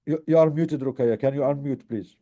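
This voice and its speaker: A man hosting a webinar on a video call, talking haltingly with many fillers. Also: medium pitch, mellow. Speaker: webinar host. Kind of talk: webinar moderation. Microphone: computer mic on a video call.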